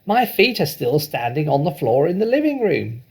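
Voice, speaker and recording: Storybook role: boastful old grandmother man acting, crowing and shouting high, with a creaky edge. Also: very animated, moderate pace, exaggerated voice.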